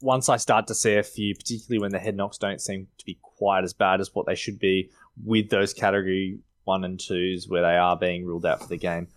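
A man's voice: low voice, fairly even intonation, brisk, hardly any pauses. An Australian man co-hosting a sports podcast, giving injury analysis in a matter-of-fact, clinical way. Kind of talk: fantasy rugby league analysis.

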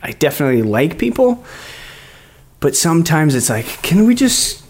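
A man's voice: a little high, big pitch swings, normal speed, darkish tone. Male podcast host, casual and talkative, garage studio sound. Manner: loose and chatty, laughs often, many fillers. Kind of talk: casual interview chat.

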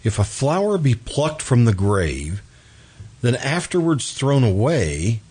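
A man's voice: mid-range voice, very animated, slow pace, mellow, husky, exaggerated voice. Man acting a haunted, guilt-ridden radio drama man, dramatic swings. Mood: startled, skeptical. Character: troubled, guilt-haunted man, brooding murderer.

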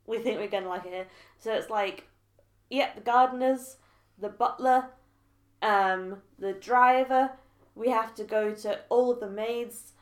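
A woman's voice: on the high side, measured pace, reverberant. A woman co-hosting a podcast, playful and giggly in casual comedic chat. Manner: playful, giggly, sings along. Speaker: podcast co-host.

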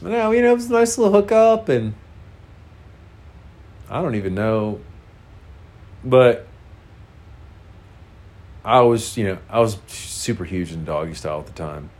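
A man's voice: on the low side, big pitch swings, a little slow, long pauses, darkish tone. A man with a congested, nasal voice, casually telling a frank, rambling personal story full of fillers.

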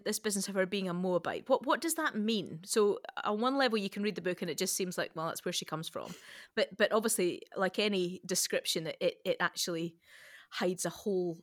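Woman hosting a podcast, medium pitch, chatty questioning style, dry close mic no room sound. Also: dry recording. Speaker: podcast host.

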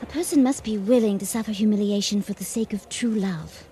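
A soft-toned schoolgirl, played by a woman in a radio drama. She is confident, bossy and earnest, a self-appointed expert on love. There is faint noise in the recording. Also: mid-range voice, a little fast, lightly acted. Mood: reassuring. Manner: earnest, instructive.

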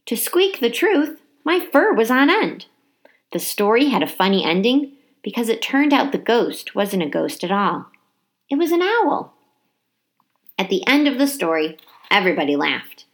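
Animated woman reading a children's storybook. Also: fairly high pitch. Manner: animated, dramatic emphasis on key words. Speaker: teacher reading a children's chapter book aloud. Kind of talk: expressive storybook narration.

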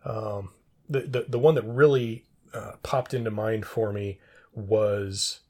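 A middle-aged man in a casual trivia discussion, rambling and thinking out loud as he talks. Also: on the low side, fairly even intonation, slow. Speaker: podcast co-host.

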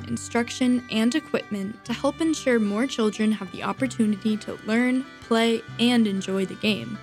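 A woman with a fairly high voice reads a nonprofit announcement in a polished, upbeat style. Faint music runs underneath her. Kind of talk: nonprofit public service announcement.

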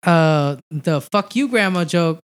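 A man's voice: a little high, animated, slow, no room sound. A young man from El Salvador, a stand-up comic, talking loosely as a podcast guest, swearing and laughing a lot. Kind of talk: casual podcast chat.

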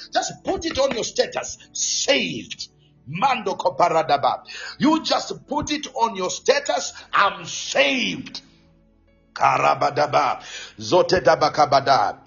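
A man preaching a charismatic sermon in a declamatory, repetitive, exhorting manner, stretching key phrases. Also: high-pitched. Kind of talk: charismatic Pentecostal sermon with bursts of tongues. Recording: dull lo-fi sound, faint music behind.

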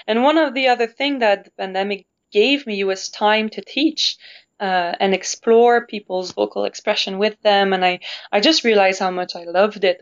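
A woman's voice: medium pitch, clear, resonant tone. Woman from Canada, opera singer guest, thoughtful and searching, muffled lo-fi sound. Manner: thoughtful, searching for words, laughs easily. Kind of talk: reflective conversational interview. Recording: dull lo-fi sound.

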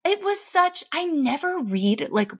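Chatty woman with a gravelly voice. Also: sits high, very animated, unhurried. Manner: gushing, chatty, many "like" fillers. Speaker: podcast co-host; mom in business. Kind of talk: casual chat.

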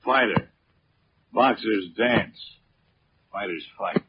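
Surly ex-boxer heavy, deep-ish and blunt; 1940s radio drama, faintly noisy. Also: male voice, slow. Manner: curt, blunt. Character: tough, surly, menacing male ex-boxer. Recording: muffled, noisy old radio recording.

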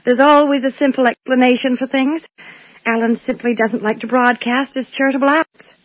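A woman playing a brisk, officious but polite dental receptionist in a 1980s radio drama. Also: sits high, steady tone, no room sound. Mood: placating. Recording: dull old radio recording.

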